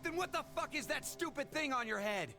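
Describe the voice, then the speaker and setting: Hot-tempered, agitated young captive. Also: male voice, very high voice, animated, a little fast, music in the background. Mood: furious. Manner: aggressive, agitated.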